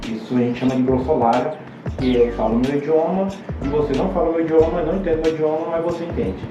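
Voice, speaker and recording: A Brazilian man, a pastor guest on a podcast, explaining scripture in a halting, explanatory way with many restarts. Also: fairly high pitch, steady tone. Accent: Brazilian Portuguese. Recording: roomy, distant, dull podcast audio. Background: music underneath.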